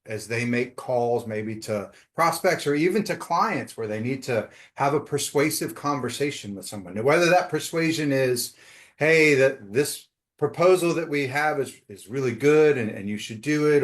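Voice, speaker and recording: A man's voice: on the high side, lively intonation, normal speed. A man hosting a business podcast, asking long, rambling questions with frequent fillers and restarts. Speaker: podcast host. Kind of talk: interview questions.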